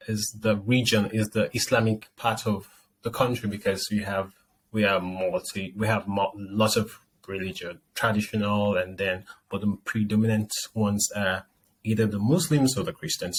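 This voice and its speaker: A man's voice: lowish voice, slight background noise, rough recording. A man with a Nigerian accent answering as an interview guest, explaining things as he goes.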